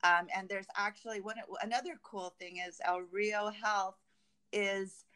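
Smooth-voiced woman, halting interview guest. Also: mid-range voice, fairly even intonation, pushed and bright. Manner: halting, searching for words. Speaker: guest, construction company representative. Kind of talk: conversational interview answers about company projects.

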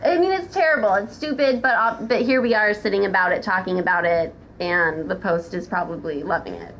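A woman's voice: mid-range voice, fairly quick, a bit bright. An American woman chatting casually as a podcast guest, blunt and sweary in the way she talks. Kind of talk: casual chat. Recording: dull, slightly noisy audio.

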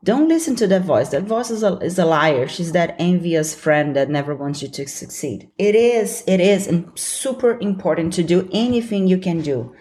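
A woman's voice: lowish voice, lively intonation, moderate pace, hardly any pauses, warm tone. A young adult woman from Brazil with a Brazilian accent, hosting a solo podcast in an earnest, encouraging way and laughing easily. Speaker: podcast host. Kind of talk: solo motivational podcast talk.

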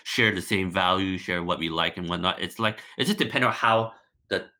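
Deep-voiced young man from Hong Kong. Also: moderate pace. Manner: relaxed, hesitant, many likes and restarts. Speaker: podcast guest. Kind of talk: casual interview chat.

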